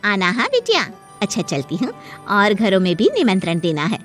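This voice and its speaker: A woman's voice: mid-range voice, wildly swooping pitch, normal speed, soft background music, pitched below the performer's own voice. A woman voicing a friendly, helpful middle-aged neighbour who talks in a chatty, familiar, insistent way in a cartoon.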